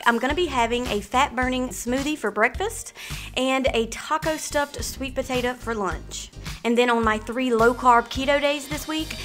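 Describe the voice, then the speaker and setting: A woman in her thirties hosting a cooking channel, walking through meal prep in clear step-by-step voice-over. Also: a little high, fairly bright tone. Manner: clear step-by-step instructional delivery. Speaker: host of a healthy cooking channel. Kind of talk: upbeat recipe walkthrough with voice-over.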